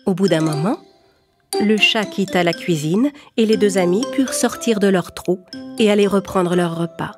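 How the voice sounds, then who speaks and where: A woman narrates a children's fable in a scripted storybook style. Her voice sits at a middle pitch, with music clearly playing behind it. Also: normal speed.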